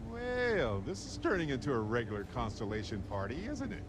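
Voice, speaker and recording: Sarcastic spacer, wildly swooping pitch, noisy game background. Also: male voice, wildly swooping pitch, fairly quick. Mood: wry. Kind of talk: video game voice acting.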